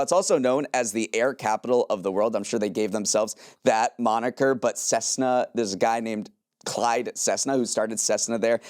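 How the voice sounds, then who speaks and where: A man's voice: mid-range voice, darkish tone, clear, resonant tone, no room sound. American man co-hosting, dry close sound. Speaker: podcast co-host. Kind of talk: scripted news recap with light banter.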